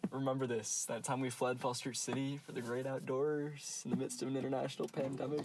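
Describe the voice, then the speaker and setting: A man's voice: medium pitch, brisk, background noise, close to an everyday voice. Man playing a playful, eager teenage boy. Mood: teasing. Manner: excitable, teasing. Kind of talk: naturalistic radio drama banter.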